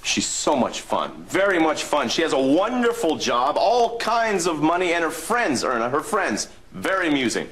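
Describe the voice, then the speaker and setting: A man's voice: on the high side, huge pitch swings, moderate pace, few pauses, a bit bright, poor audio. An actor playing an uneasy 1930s male radio executive, ingratiating and hedging, repeating himself in a period drama. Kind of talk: period television drama acting.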